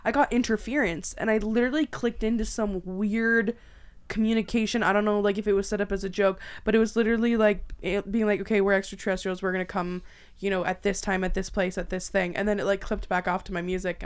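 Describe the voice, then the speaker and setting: A young Canadian woman hosts in an informal, colloquial chat style. Her voice sits at an average pitch for a woman, and she talks as if among friends. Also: clean tone. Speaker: podcast host. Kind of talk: casual chat.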